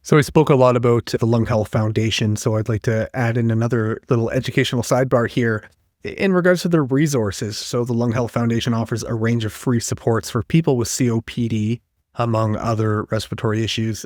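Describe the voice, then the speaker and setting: A man's voice: medium pitch, no room sound, studio quality. Friendly man hosting a health podcast. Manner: friendly, conversational, reads out resource details. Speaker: podcast host. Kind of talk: interview hosting with scripted info segments.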